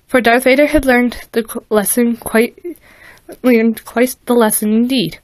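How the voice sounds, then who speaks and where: A woman's voice: a little high, no echo, low-quality recording. Woman reading rhyming verse aloud. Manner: stumbles and restarts on words. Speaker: fanfiction reader.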